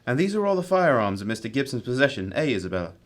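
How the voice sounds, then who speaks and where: A composed gentleman detective from a radio mystery, acted by a man. The voice is mid-pitched, with deliberate, courteous authority and an analytical turn. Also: big pitch swings, lightly acted. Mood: inquisitive. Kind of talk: radio drama acting.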